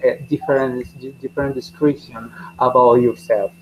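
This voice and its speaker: A man teaching a beginner English class. He speaks haltingly and repeats each question several times. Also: medium pitch, level tone. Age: 20s. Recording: dull lo-fi audio with faint noise.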